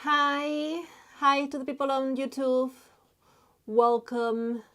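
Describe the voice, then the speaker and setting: Slow-talking woman from Spain. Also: sits high, steady tone, fairly bright tone. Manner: halting, many fillers, reads chat messages aloud. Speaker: artist live streaming while drawing. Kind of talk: casual live stream chat.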